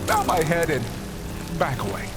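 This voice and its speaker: Man playing lively, wisecracking adventurer. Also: a little high, normal speed, over loud music, lightly acted. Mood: wry. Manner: wry, quippy. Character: wisecracking male adventurer hero, laid-back and cheeky. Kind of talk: casual fan audio drama acting.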